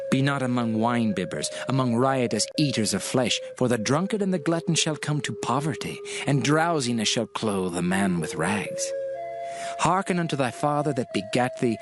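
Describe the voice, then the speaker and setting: A man giving a dramatized scripture reading, solemn, measured and emphatic in his delivery. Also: mid-range voice. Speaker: scripture narrator. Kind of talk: dramatized scripted Bible reading in archaic English. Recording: music playing under the voice. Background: music.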